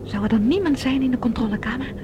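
A woman's voice: high voice, fast, lo-fi sound, lightly acted. An actress playing a wary, sceptical woman in a science fiction radio drama, questioning and concerned. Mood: worried. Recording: faintly noisy radio play recording.

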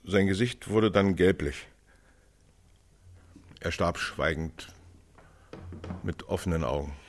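An actor playing a grave, sober male eyewitness who gives halting, detailed testimony in a radio play. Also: deep voice. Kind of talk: radio play monologue styled as witness testimony.

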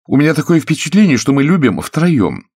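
Casting: male narrator as a theatrical, spiteful woman of the stage, raised pitch, lively swings, audiobook dialogue. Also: fairly quick, husky, no echo, clean studio sound, heavily acted. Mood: scornful, irritated. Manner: spiteful, ironic, melodramatic. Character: haughty, shrill actress woman, venomous and theatrical.

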